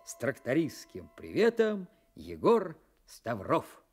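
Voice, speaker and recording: A man's voice: mid-range voice, huge pitch swings, slow pace, a little mellow, slightly rough, heavily acted. A man playing a boastful young tractor driver reciting his letter in a radio drama, jaunty, slangy and swaggering. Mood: cocky, jaunty. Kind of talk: Soviet radio drama monologue. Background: music.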